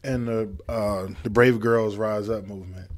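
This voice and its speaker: A man co-hosting a talk show, asking his guest questions in a casual, relaxed way. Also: fairly low pitch, unhurried.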